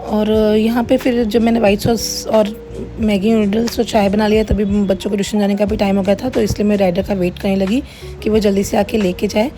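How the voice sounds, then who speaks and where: A middle-aged woman narrating a casual home-kitchen vlog in a plain, everyday way, her voice slightly hoarse as if under the weather. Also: mid-range voice, little pitch movement, a little fast, dark tone. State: mildly unwell, voice a little hoarse. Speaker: home cook running a cloud kitchen, vlogger. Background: background music.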